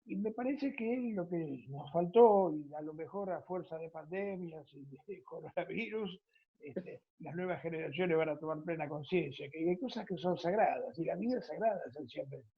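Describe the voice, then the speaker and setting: Husky Argentine man interviewing. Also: on the high side, moderate pace. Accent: Argentine Spanish. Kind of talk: conversational radio-style interview. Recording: dull lo-fi audio.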